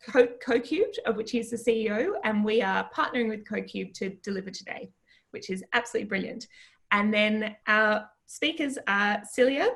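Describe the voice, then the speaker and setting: Female event host, medium-pitched and gravelly with creak, informal introductions on a video call. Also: fairly bright tone. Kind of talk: informal spoken introductions at an online business session.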